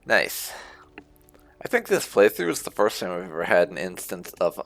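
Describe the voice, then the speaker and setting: Low, creaky, animated male gaming commentator. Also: moderate pace. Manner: informal, explanatory, reading dialogue choices aloud. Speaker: gaming channel host. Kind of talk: casual playthrough commentary.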